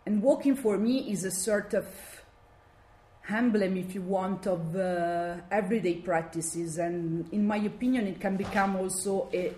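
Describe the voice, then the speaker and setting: A woman from Italy speaking with a strong Italian accent, giving an academic talk and often pausing to search for words. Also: fairly low pitch, darkish tone. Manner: halting, searching for words with many fillers. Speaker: guest lecturer. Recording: echoey room, faint background noise.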